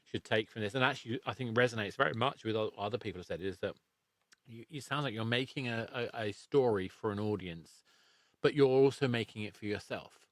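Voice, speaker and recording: A man's voice: lowish voice, brisk, no room sound. A man hosting a casual interview, encouraging and affirming as he talks. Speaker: podcast host, interviewer.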